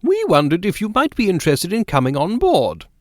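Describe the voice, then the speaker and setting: Man doing a chatty curious lady, raised pitch, very lively inflection, storybook voice. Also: brisk, dry recording, studio quality. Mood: eager. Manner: eager, talkative, inquisitive. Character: chatty curious well-to-do older woman.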